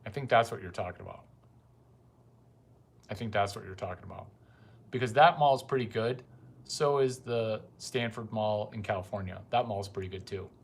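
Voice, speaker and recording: A man's voice: lowish voice, moderate pace, vocal fry, long pauses, slightly rough. A man giving a rambling, crude casual monologue full of profane asides.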